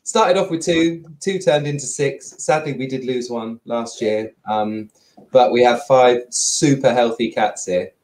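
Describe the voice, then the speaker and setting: A man in his forties, a guest on a video call from his living room, answering in a relaxed, rambling way. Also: medium pitch. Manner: relaxed, rambling, quick to laugh. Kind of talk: casual interview answers.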